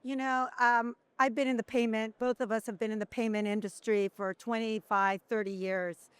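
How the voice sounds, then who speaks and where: A woman's voice: on the high side, flat delivery, moderate pace, clean tone, dry recording. A middle-aged woman co-hosting a podcast interview in a conversational way. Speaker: podcast co-host.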